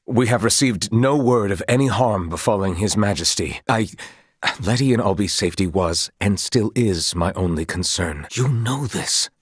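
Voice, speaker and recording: A man's voice: on the low side, normal speed, slightly rough, no echo, clean studio sound. A man playing a composed, proud knight commander of royal blood in a video game, speaking in formal, archaic, courtly phrases. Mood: grave.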